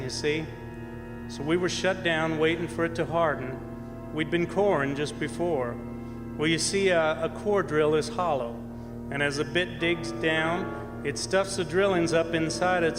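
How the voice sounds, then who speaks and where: A man's voice: fairly high pitch, normal speed, lightly acted. Man acting a folksy oilman in an echoing hall. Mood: folksy storytelling. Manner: colloquial, folksy. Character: folksy old-time oil rig roughneck, easygoing storyteller. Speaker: actor and narrator in a radio play. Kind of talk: stage radio drama acting. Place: performing arts center stage. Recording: reverberant hall, music behind.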